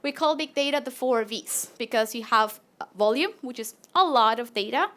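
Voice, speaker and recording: Woman, 30s, Latin American Spanish accent, high voice, friendly animated presenter. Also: moderate pace. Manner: friendly and animated, with small fillers and restarts. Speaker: PhD researcher presenting. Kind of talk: live PechaKucha talk to an audience.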